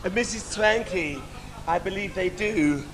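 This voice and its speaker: A man playing a coy, bawdy young pantomime princess, teasing and halting in a comic stage style. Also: high-pitched. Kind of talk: adult pantomime stage comedy. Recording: noisy live theatre stage.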